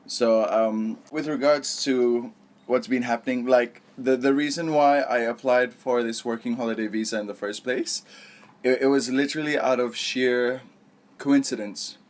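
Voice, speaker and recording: A man's voice: mid-range voice, fairly even intonation, moderate pace. A young man with a mid-range voice chats informally to his viewers in a storytime vlog. He rambles along in a conversational way, correcting himself and padding his sentences with small filler phrases. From born in Malaysia, raised abroad. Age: young adult. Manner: rambling, informal, self-correcting, peppered with "you know" and "like". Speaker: vlogger. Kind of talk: casual storytime vlog chat.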